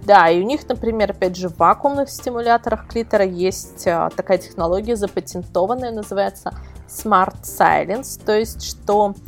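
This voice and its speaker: A woman speaks as an expert guest in an informal interview, with music playing behind her. Her voice sits at an average pitch for a woman. She explains things in detail and leans on practical examples. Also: moderate pace. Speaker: guest expert, editor of a product review site.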